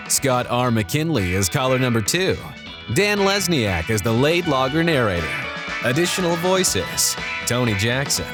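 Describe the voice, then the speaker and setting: A man announcing show credits in a playful radio DJ style. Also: medium pitch. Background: guitar music.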